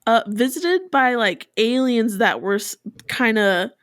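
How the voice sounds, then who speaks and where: A woman's voice: fairly high pitch, big pitch swings, a little slow, fairly bright tone, no room sound. Chatty young woman, dry close mic. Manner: chatty, many fillers, laughs often. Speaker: podcast co-host. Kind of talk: casual chat.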